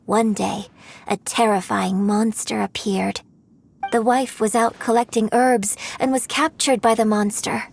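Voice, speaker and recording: An actress playing a graceful opera singer who recounts an old legend in a measured, theatrical way. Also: female voice, a little high, vocal fry, a little mellow, breathy edge, full character voice. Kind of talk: game voice acting.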